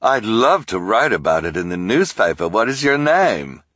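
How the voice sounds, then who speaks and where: A man's voice: fairly low pitch, sing-song, fairly bright tone, no echo, clean studio sound, pitched above the performer's own voice. A man doing a gushing gossip reporter woman. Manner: gushing, drawn-out. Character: gum-chewing eager newspaper reporter woman.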